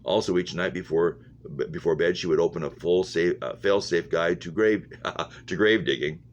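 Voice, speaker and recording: Man, very low voice, reading a story aloud, stumbling delivery, humming background noise. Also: moderate pace. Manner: stumbles over words and rereads phrases. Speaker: reader, English teacher. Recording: muffled lo-fi audio with humming noise.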